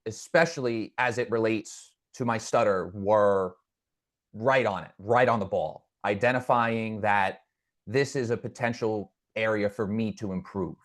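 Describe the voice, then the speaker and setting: A man's voice: lowish voice, a little slow. Earnest man with a stutter. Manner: stutters with repeated words; reflective, earnest storytelling. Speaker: podcast guest. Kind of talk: conversational interview.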